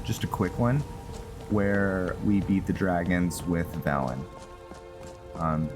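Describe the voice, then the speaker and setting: A man giving casual commentary on his own roleplay playthrough of a video game, in a deep voice. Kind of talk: casual gameplay commentary with some in-character lines. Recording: game music behind the voice. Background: video game music.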